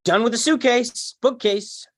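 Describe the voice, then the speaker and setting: A man's voice: very high-pitched, unhurried, no echo, clean studio sound. A man playing a blunt, girl-chasing young man in a sitcom table read. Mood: casual.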